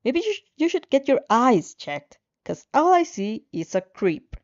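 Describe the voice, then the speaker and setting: A woman's voice: high voice, very animated, measured pace, dull audio, pitched above the performer's own voice. A woman voicing a hot-tempered, contemptuous young man from anime fan fiction, with a non-native English delivery. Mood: scornful. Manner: spitting, contemptuous.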